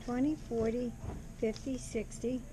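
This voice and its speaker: A woman's voice: a little high, fairly even intonation, unhurried, dark tone, rough recording. A middle-aged woman chatting casually with a customer as a store cashier, picked up by an action camera across the counter. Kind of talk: casual customer-service chat. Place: small store, behind the checkout counter. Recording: busy store sound via action camera.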